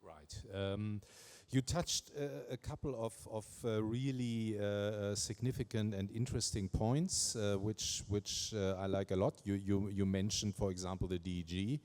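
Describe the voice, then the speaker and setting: A man moderating a conference panel, halting and full of fillers and repeated words. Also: on the low side, steady tone, a little mellow. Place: conference stage.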